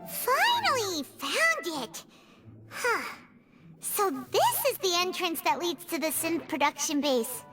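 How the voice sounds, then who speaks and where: Anime game fairy companion, childlike, squeaky-high, animated swoops, excitable. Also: female voice, takes it slowly, over loud music, exaggerated voice. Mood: excited, curious.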